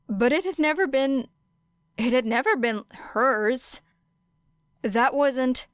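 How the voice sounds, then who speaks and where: A woman's voice: on the high side, fairly bright tone, clean tone, no echo, studio quality. A woman reading scripted fiction as an audiobook narrator.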